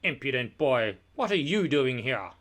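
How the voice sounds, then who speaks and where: A man's voice: mid-range voice, sing-song, a little slow. A man voicing a sly, evil storybook lord who speaks imperiously. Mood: haughty. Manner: imperious, shouting commands.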